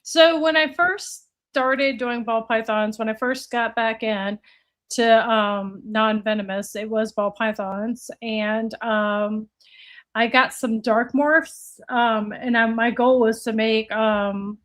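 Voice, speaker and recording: Higher-voiced American woman in her forties. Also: fairly even intonation, slow pace, a bit bright. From United States (Virginia, earlier Florida). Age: late 40s. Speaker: podcast guest, reptile breeder. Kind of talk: casual interview chat.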